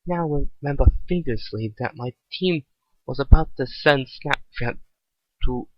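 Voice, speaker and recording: A man's voice: mid-range voice, measured pace, no room sound, muffled recording, close to an everyday voice. Man reading as a hesitant amnesiac cat heroine. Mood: grave recollection. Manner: hesitant, straining to recall. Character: anxious amnesiac anthropomorphic female cat fighter.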